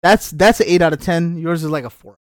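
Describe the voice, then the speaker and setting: A man's voice: a little high, a little fast, no echo, clean studio sound. A man co-hosting a podcast in casual chat, blunt and slangy with teasing banter. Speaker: podcast co-host.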